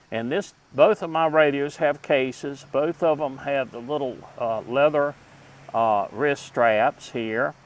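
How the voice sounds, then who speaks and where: A man hosting a casual, unscripted review of collectibles, speaking haltingly as he thinks aloud with pauses. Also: medium pitch, fairly bright tone. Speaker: host reviewing vintage radio collectibles. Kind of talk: casual unscripted product show-and-tell.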